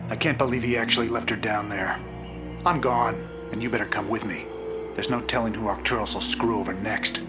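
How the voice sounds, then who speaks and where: An actor playing an indignant, rebellious soldier captain in a sci-fi game, with a blunt, sardonic, plain-spoken delivery. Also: male voice, lowish voice, steady tone, a little fast, lightly acted. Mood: disgusted, resolute. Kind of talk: sci-fi game cutscene acting. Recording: music behind the dialogue.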